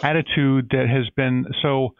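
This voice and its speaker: A man's voice: medium pitch, fairly even intonation, measured pace, clean tone, no room sound. A man hosting an interview, asking long, rambling questions full of fillers. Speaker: interview host. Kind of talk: casual interview. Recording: tinny phone-like line.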